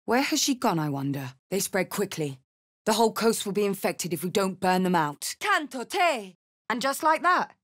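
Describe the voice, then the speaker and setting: A battle-hardened, big-hearted barbarian woman from a fantasy video game. She speaks bluntly and informally, in short punchy lines that suit a brash tiefling fighter. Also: fairly low pitch. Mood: intense incantation.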